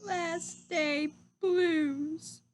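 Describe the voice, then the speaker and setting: A woman's voice: extremely high pitch, fairly even intonation, very slow, slight background noise. A woman reading a picture book aloud to young children like a teacher, speaking to them directly in simple phrases.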